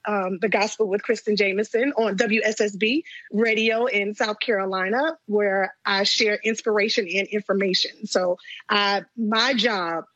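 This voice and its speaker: A woman in her late thirties, a gospel singer telling stories as an interview guest, animated and laughing often. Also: medium pitch, normal speed, hardly any pauses, no echo, highs cut off. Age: 30s. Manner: animated storytelling, frequent laughter.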